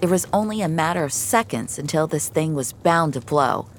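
A woman's voice: sits low, animated, normal speed, a little hiss or hum. A woman giving a dramatic scripted narration of a fiction story.

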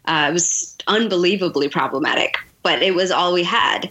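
A woman speaking as a guest in a podcast conversation, in a thoughtful way with frequent pauses. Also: lowish voice, sharp tone, a little hiss or hum, rough recording. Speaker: guest, fiction writer and teacher.

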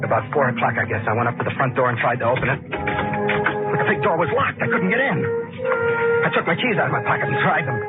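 A man's voice: mid-range voice, fast, narrow, tinny audio, natural voice. An actor playing a furtive, frightened man with a deadly plan, telling his story tensely in 1940s radio suspense style. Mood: hushed, fearful. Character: furtive, frightened man plotting a killing, narrating his deed. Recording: 1940s radio recording with loud organ-era music behind.

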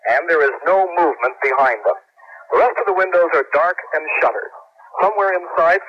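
A man delivering a scripted field report as a radio news correspondent. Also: fairly high pitch. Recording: dull old radio broadcast feed.

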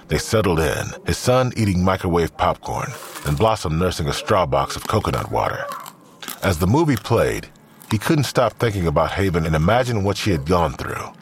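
Very deep-voiced male drama narrator. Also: a little hiss or hum. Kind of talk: scripted audio drama narration.

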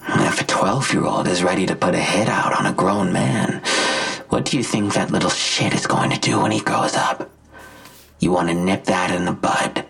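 An actor playing a smug, menacing vigilante villain in an audio drama, articulate and self-righteous, with a gravelly, husky voice and a deliberate, taunting delivery. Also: male voice, low-pitched, a bit bright, exaggerated voice. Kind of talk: full-cast audio drama acting.

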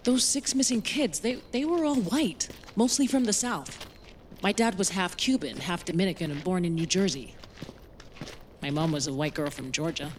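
A woman's voice: fairly low pitch, moderate pace, slight background noise, natural voice. A woman playing an anxious, guarded young woman with an American accent, acting and narrating in an audio drama.

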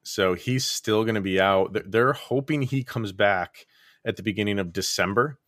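Lively guy talking hockey, lowish voice, dry close sound. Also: normal speed, dry recording. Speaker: podcast guest. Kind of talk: casual sports chat.

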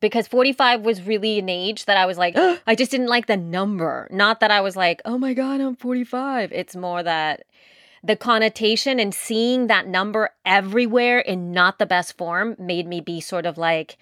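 A woman's voice: mid-range voice, normal speed, a bit bright, dry recording. Dry-sounding close woman's voice, forties. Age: 40s. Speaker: podcast co-host. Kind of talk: casual, reflective chat.

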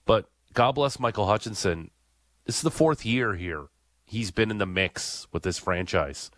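A man's voice: on the low side, unhurried, a bit bright, dry recording. A man hosting a sports radio talk show, leading the chat with questions in a conversational way. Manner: conversational, leads the discussion with questions.

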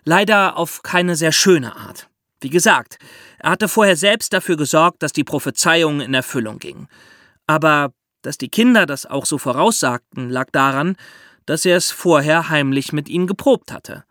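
A man's voice: a little high, normal speed, sharp tone, no echo, studio quality. A man co-hosting a history podcast, explaining the story with chatty asides. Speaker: podcast host.

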